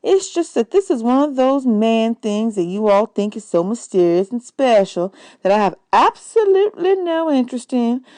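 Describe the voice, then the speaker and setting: A woman's voice: a little high, sing-song, normal speed, hardly any pauses, a little mellow, no echo. A woman voicing a tart, self-assured lady among bandsmen, wry and faintly scornful, in a storybook. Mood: tart, dismissive.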